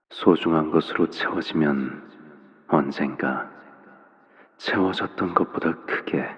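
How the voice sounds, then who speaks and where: A fatherly video game villain with a deep voice that hangs in long reverb. He is calm and cryptic, softly spoken, and lets each measured phrase settle before the next. The menace stays quiet beneath a gentle, parental manner. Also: dull audio. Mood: gentle, ominous. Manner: soft-spoken, unhurried, measured, pausing between phrases. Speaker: video game character voice actor. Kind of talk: game character voice lines, slow theatrical monologue.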